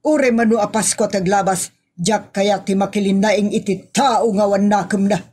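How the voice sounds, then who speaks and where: A woman's voice: medium pitch, fairly even intonation, moderate pace, darkish tone, husky. A woman playing a grumbling, defensive aunt in a comedy audio drama.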